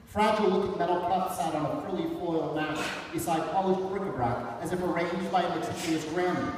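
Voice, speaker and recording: A man's voice: high voice, fairly quick. A man giving a dramatic reading of a story aloud, recorded with a distant microphone in a large room. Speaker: author reading from a book. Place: large echoey room at an elementary school. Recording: very echoey hall from far off, faint noise.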